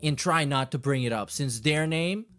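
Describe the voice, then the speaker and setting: A man's voice: on the high side, moderate pace, fairly bright tone, faint music behind. A man hosting a reaction stream, reading messages aloud and commenting casually in a sardonic, dismissive, sweary way.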